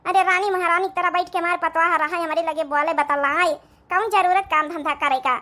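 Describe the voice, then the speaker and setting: A performer plays a middle-aged village mother-in-law in a cartoon, with an Awadhi accent. She is scheming and nagging, wheedling when it suits her. The voice is extremely high and sharply bright. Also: fairly even intonation, brisk. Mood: grumbling.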